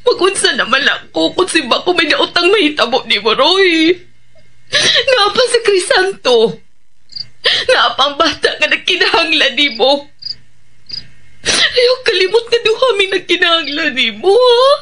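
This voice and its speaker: An actress playing a worried, affectionate, nagging wife with a Bisaya Filipino accent in an emotive radio drama. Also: female voice, very high-pitched, very animated, a little slow, bright, forceful tone, heavily acted. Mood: pleading, impatient. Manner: emotive, coaxing, scolding.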